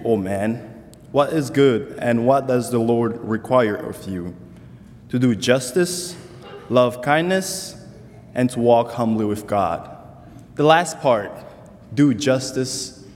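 A man from Congo giving an earnest church talk on scripture as a summer intern, speaking deliberately with some restarts. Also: mid-range voice, darkish tone. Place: church sanctuary. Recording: long hall reverb.

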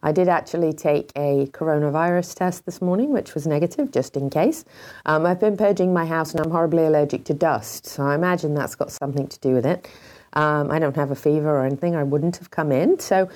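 A woman with a British accent hosting a horse talk show, her voice a little froggy and congested, talking with many pauses and ums. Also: deep voice, a little mellow. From Britain. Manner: hesitant, many ums, heartfelt. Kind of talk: casual talk show monologue.

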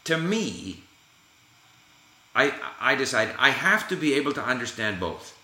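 A man's voice: medium pitch, bright, forceful tone. A man hosting a casual conversation about language learning, encouraging and emphatic, repeating his key phrases. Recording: echoey room.

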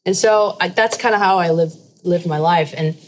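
A woman in her late twenties chats about her life in a relaxed, roundabout way, starting sentences over and over. Her voice sits a little low, with a faint hum of ambience behind her. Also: moderate pace. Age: 20s. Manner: rambling, many restarts. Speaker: guest musician. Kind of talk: casual conversation.

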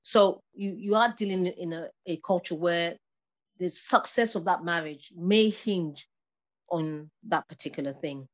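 A woman's voice: on the low side, unhurried. A woman in her fifties chatting thoughtfully in a casual conversation. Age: 50s. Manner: thoughtful, laughs between points. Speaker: discussion participant.

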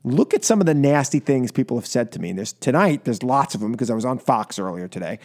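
A man's voice: mid-range voice, sing-song, fast, darkish tone. An American man hosting talk radio, delivering an impassioned political monologue in an emphatic, exasperated way, repeating phrases for stress. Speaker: talk radio show host. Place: radio studio.

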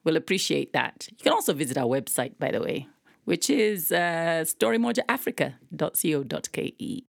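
Podcast host: woman, low voice, encouraging and measured, dry recording. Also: very animated, vocal fry, no echo. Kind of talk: conversational podcast interview.

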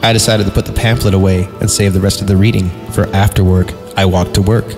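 Low-voiced sarcastic man in long reverb. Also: flat delivery, fast. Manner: sarcastic, breaking off from narration into giggly asides. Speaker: podcast host reading creepypasta. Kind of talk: mocking dramatic story reading with comic asides. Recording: reverberant voice over background music. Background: music.

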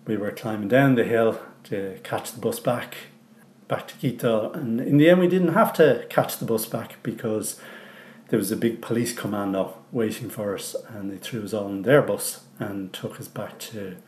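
Dublin man, 40s, low voice, reflective recorded testimony, roomy echo. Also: moderate pace, a little mellow, slight background noise. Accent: Dublin Irish. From Ireland. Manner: reflective, loose, with many ums and restarts. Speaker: interviewee.

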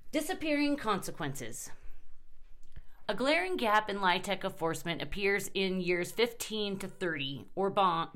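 Woman narrating an article, slightly low voice. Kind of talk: scripted narration of a news article.

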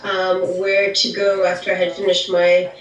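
A woman's voice: on the low side, monotone, moderate pace, reverberant, recorded from a distance, rough recording. A woman in her forties who grew up in Saudi Arabia, reflectively introducing herself on a video call. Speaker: caller.

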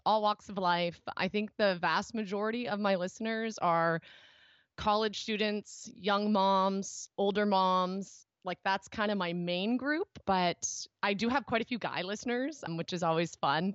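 A woman guest with a hoarse, raspy, deep voice, chatting casually and telling stories. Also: no echo. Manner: chatty storyteller, quotes others. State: hoarse from talking too much. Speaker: podcast guest. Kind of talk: casual chat. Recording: dull lo-fi dry sound.

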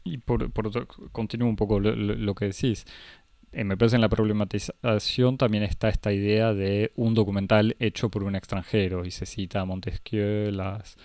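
Low, even-toned man analyzing a film. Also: no room sound. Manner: measured, analytical, light self-correction. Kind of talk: casual film discussion.

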